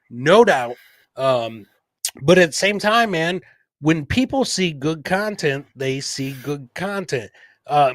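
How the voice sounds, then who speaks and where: A man's voice: on the high side, fairly bright tone, dry recording. A man hosting a casual livestream, blunt and emphatic, repeating himself and chummily dropping "man" and "brother". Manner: blunt, profane, emphatic and repetitive. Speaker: podcast host.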